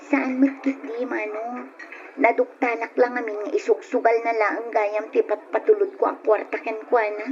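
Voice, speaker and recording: A woman's voice: fairly high pitch, little pitch movement, moderate pace, a little mellow, close to an everyday voice. A woman acting in an old radio drama as a troubled, plaintive married woman confiding hesitantly in a relative. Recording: muffled old radio recording, faint noise.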